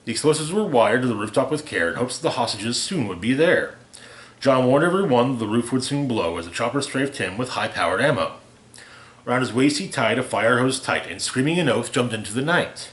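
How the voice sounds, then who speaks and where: A man with a medium-pitched voice reads a rhyming holiday story aloud. His delivery is theatrical and deliberate, shaped around the verse. The recording is roomy and echoey, like a home reading. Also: lively intonation, fairly bright tone. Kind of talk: expressive read-aloud of a rhyming holiday parody.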